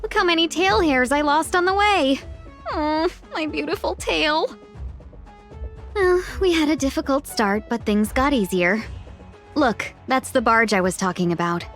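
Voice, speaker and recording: A woman's voice: high-pitched, lively intonation, unhurried, a bit bright, soft background music. A woman playing a courteous, playful young fox-tailed merchant in a video game, speaking with polite, coaxing formality. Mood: playful, relieved. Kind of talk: English video game voice acting.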